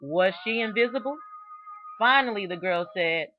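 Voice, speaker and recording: Woman reading a kids biography out loud, bright clear-cut tone, expressive storyteller style, stresses the key words. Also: medium pitch, normal speed, faint music behind, low-quality recording. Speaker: storybook reader. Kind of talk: read-aloud narration of a children's biography.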